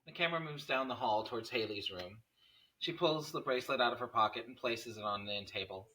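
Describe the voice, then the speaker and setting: Very bright, forceful male narrator. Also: mid-range voice, fairly quick, poor audio. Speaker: narrator reading stage directions. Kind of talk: scripted screenplay narration at a table read.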